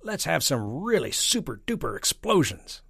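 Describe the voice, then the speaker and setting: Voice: mid-range voice, very animated, normal speed, vocal fry, slightly rough, no room sound, clean studio sound, voice pushed up, full character voice. A performer voicing a blustering, childish army chief who is boisterous and gung-ho in a storybook. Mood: eager, pleading. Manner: loud, boisterous, exclaiming.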